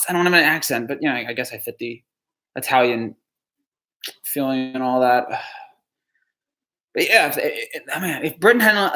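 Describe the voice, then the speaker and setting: A man from New Jersey on a live stream, answering chat questions in a halting, dejected way, with frequent stammered restarts and sighs. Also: fairly high pitch, fairly bright tone.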